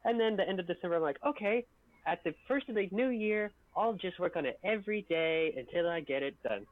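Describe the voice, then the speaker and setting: A man's voice: high voice, expressive, fairly quick, no room sound, narrow, tinny audio. A man rambling casually and self-deprecatingly about his work on a group livestream. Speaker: video editor and voice actor.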